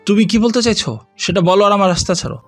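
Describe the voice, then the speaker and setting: A man acts out a stern, uneasy rival politician in a Bangladeshi audio drama. The voice is notably high for a man, stiff and indignant, over light background music. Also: fast talker, fairly bright tone. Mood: nervous bluster. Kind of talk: audio story acting.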